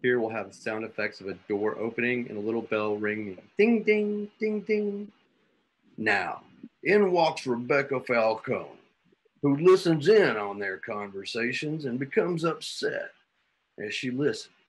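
A man narrating a drama script and its stage directions deliberately, pausing between phrases. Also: medium pitch, very animated, husky, poor audio. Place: indoors at home, video call. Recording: faint noise, home video call.

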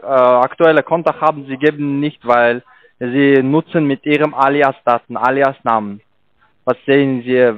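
A man with a foreign accent works through a sales call, instructing in halting, broken phrases. The sound is slightly dull and lo-fi, with a dry, close feel and a mid-range pitch. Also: dry recording. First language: not German. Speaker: call centre scam agent.

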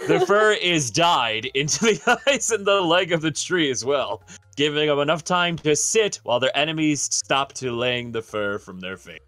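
A man's voice: fairly high pitch, lively intonation, normal speed, fairly bright tone, dry recording, faint music behind. A man reading aloud playfully among friends in a word game, prone to breaking into laughter.

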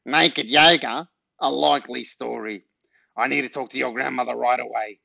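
A man's voice: medium pitch, fairly even intonation, normal speed, gravelly, pushed and bright, no room sound, full character voice. A man voicing a nosy retired major in a storybook, nasal, officious and accusing. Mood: bullying, accusing. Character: nosy, barking retired major, snooping neighbour.

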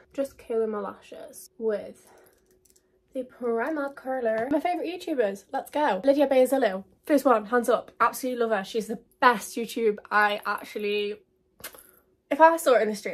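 A woman's voice: a little high, breathy edge. A young woman in her twenties with an English accent, chatting casually to camera while doing her makeup, gushing and full of fillers. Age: 20s. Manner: chatty, gushing, lots of likes and literallys. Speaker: beauty vlogger. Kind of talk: chatty get-to-know-me vlog.

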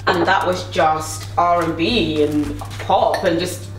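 A woman's voice: fairly low pitch, fairly even intonation, slow pace, slight background noise. A young woman hosting a casual cooking chat at home, talkative and teasing. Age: young adult. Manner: chatty, playful teasing. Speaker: singer hosting a cooking video. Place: home kitchen. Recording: roomy kitchen echo, mic at a distance.

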